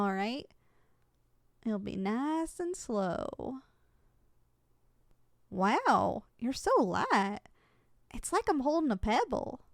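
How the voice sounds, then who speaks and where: Kind, folksy giantess acted by a woman, high and unhurried, soothing ASMR roleplay delivery. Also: dry recording, clean studio sound. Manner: soothing, folksy, gently reassuring. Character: kind, gentle young giantess homeowner comforting a tiny person.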